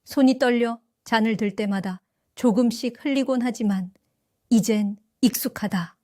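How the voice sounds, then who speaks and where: An older woman tells her story in a calm, reflective first-person narration. Her voice sits fairly high, and the recording is close and completely dry. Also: dry recording. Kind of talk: scripted first-person audio drama narration.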